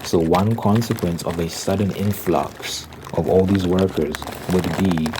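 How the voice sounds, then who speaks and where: A man giving rambling ASMR commentary in a conversational, explanatory manner, often pausing and restarting. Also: low voice, fairly even intonation, noisy background. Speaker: solo host of a rambling channel.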